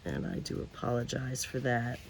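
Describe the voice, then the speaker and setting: A woman hosting a crafting video outdoors. She talks in a quiet, subdued way, drifts into mutters and whispers as she works, and her voice is lower and deeper than usual. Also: moderate pace, gravelly, a little hiss or hum. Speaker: host of a paper craft channel. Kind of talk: casual crafting chat while working. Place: outdoors, in a breeze.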